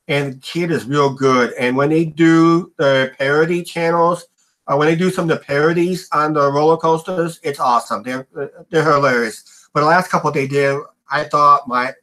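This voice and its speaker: A man's voice: fairly high pitch, sharp tone, low-quality recording. A middle-aged man hosting a casual livestream chat, rambling with lots of "uh" and "and all that stuff" fillers. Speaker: livestream host.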